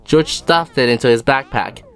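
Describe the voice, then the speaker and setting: A woman's voice: a bass voice, expressive, normal speed. A woman reading scripted storybook narration as the narrator. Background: faint music.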